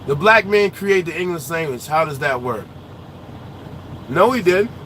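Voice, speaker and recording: A man who drives trucks, talking casually in his truck cab on the road, loud and animated with slangy trash talk. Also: on the high side, unhurried, slight background noise. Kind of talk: casual ranting chat while driving.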